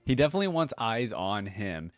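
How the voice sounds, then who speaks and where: A man's voice: on the low side, sing-song, brisk, faint music behind. A man hosting a reaction video, giving casual commentary.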